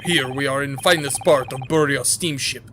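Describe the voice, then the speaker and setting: A player voicing a stern male ship guard from a video game in a mock Russian accent and broken English, speaking louder and slower than their own voice. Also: mid-range voice, fairly even intonation, noisy background, pitched below the performer's own voice, lightly acted. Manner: stern, haughty, dismissive. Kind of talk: reading a video game character aloud.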